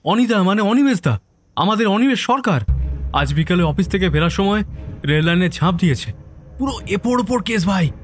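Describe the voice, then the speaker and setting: Worked-up young local lad, high voice, slangy and insistent, horror audio story, music under it. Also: male voice, animated, fairly quick. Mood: urgent, excited. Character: excitable young neighbourhood man bringing shocking news.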